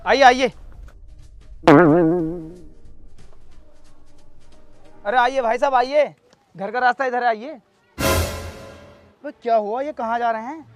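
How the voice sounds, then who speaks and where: Man acting high-voiced bossy village election candidate. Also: normal speed, soft background music. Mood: eager, bossy. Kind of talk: Hindi village comedy sketch acting.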